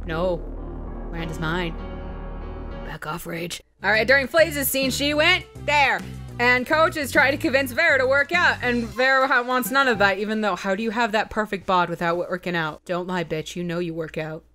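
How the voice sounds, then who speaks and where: A woman's voice: mid-range voice, moderate pace, bright, forceful tone, faint music behind. A woman casually recapping a video game as host, chatty, joking and sweary, with playful asides. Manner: chatty, sweary, with playful mock voices for quotes. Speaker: let's play host.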